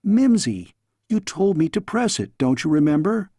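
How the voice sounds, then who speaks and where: A man's voice: a little high, big pitch swings, moderate pace, mellow, no room sound, studio quality, pitched above the performer's own voice. A man voicing a dramatic, petulant girl princess who plays at being an evil witch, in a storybook reading. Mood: pleading, flustered. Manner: crying out spells, muttering crossly.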